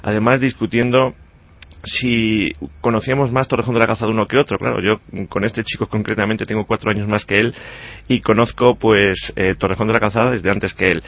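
A man's voice: lowish voice, level tone, brisk, dull audio. Earnest man in his forties. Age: 40s. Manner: earnest, hesitant with many restarts. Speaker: politician guest. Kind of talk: radio interview.

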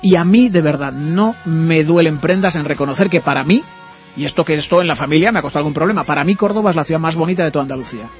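Male radio host, Spain accent, higher-pitched voice, rapid delivery, effusive, slightly dull sound with music behind. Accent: Spanish from Spain. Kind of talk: live radio interview, warm chat with guest. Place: radio studio.

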